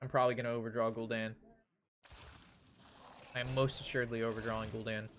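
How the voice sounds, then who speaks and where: A man's voice: mid-range voice, flat delivery, quick pace, slight background noise. A young man telling ranting, casual stories to his stream chat, swearing freely and repeating himself with exasperation. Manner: profane, exasperated, repeats phrases. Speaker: game streamer. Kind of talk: casual ranting storytelling to stream chat during card game.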